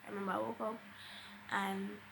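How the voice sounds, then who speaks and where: A woman's voice: mid-range voice, flat delivery, normal speed, vocal fry, background noise. A young woman with a Jamaican accent tells a personal story casually and dramatically, slipping into Patois and acting out what people said. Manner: dramatic, acts out quoted dialogue. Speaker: vlogger telling a personal story.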